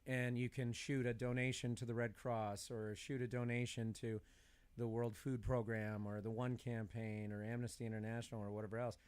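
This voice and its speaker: A man's voice: mid-range voice, flat delivery, moderate pace, a little mellow, clear, resonant tone. A middle-aged man in a casual podcast chat, talkative and opinionated. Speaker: podcast speaker.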